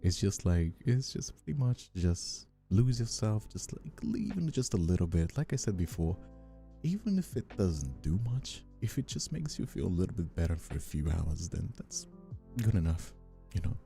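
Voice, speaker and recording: A man with a low, very mellow voice hosts a casual livestream. He is soft-spoken and hesitant, rambling gently with a gravelly creak. Quiet music plays behind him. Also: sing-song, normal speed. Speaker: streamer and ASMR voice actor hosting a live stream. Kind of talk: casual livestream chat with ASMR calm. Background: soft instrumental music.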